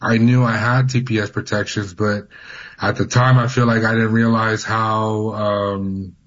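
A young man with a Californian accent telling his own story on a radio interview, hesitant with many pauses. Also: on the low side, little pitch movement, a bit bright, muffled recording. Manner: hesitant, many fillers and restarts. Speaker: caller guest.

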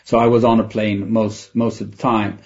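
A man's voice: lowish voice, flat delivery, moderate pace, a little mellow, slightly rough, muffled recording. A middle-aged man from Sweden, a native Swedish speaker with a Swedish accent, answering interview questions in a candid, reflective, conversational way. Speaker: tech entrepreneur interviewed as a guest.

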